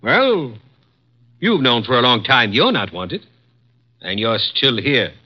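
An actor playing a cold, petty, domineering executive with a curt, clipped manner in 1950s radio drama. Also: male voice, mid-range voice, big pitch swings, takes it slowly. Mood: imperious. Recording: dull lo-fi 1950s radio broadcast.

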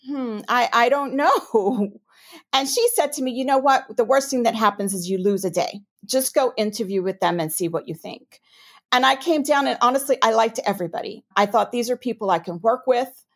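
A woman from New York with a New York accent, answering interview questions as a compliance officer in a measured, explanatory way. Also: a little high, expressive, a bit bright. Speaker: chief ethics and compliance officer.

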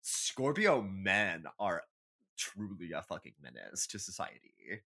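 A man's voice: deep voice, big pitch swings, slow pace, creaky, bright, forceful tone. A man co-hosting a casual comedy podcast, chatting in a catty, theatrical and emphatic way with plenty of swearing. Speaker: podcast co-host.

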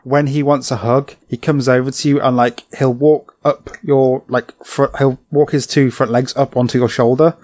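A man hosting a casual podcast, giggly and rambling, his voice going hoarse. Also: medium pitch, level tone, normal speed, few pauses, darkish tone, no echo. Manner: giggly, rambling, self-interrupting. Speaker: podcast host. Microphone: home microphone.

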